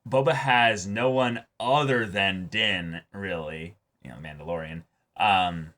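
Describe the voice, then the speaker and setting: A man's voice: deep voice, takes it slowly, a bit bright. A man co-hosting a reaction show, casually discussing a TV episode in an emphatic, eager way.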